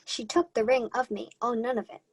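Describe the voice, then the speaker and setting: A young woman playing an earnest, slightly halting heroine disguised as a man in a Shakespeare radio play. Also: fairly high pitch, steady tone, husky. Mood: puzzled, defensive. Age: young adult.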